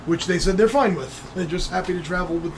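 A game master narrating a tabletop game in a relaxed, conversational way. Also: mid-range voice, brisk, noisy background.

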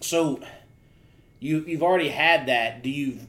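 A man hosting a podcast, laid-back and quick to laugh, asking questions in a halting, casual way. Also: fairly high pitch, fairly bright tone. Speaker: podcast host. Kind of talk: casual interview chat.

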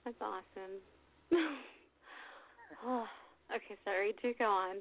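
A woman's voice: medium pitch, lively intonation, takes it slowly. Giggly woman radio host, phone-like sound. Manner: giggly, bubbly, chatty. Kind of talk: casual internet radio interview. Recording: phone-like lo-fi audio.

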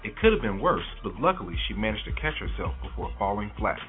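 A man's voice: on the low side, big pitch swings, fast talker. A man hosting an entertainment news segment on an internet radio show, reading items in an upbeat newsreader way. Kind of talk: read celebrity news segment. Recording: tinny phone-line internet radio with music.

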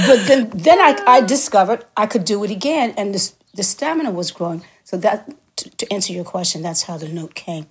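A woman singer and stage actress, a guest recounting her career in a relaxed interview, laughing often and repeating words for emphasis. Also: medium pitch, normal speed, breathy edge. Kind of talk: relaxed reminiscing interview talk.

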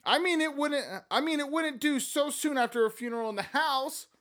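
A man voicing a fussy, anxious English lady of the house in a high, fretful, protesting tone. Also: moderate pace, fairly bright tone. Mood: alarmed.

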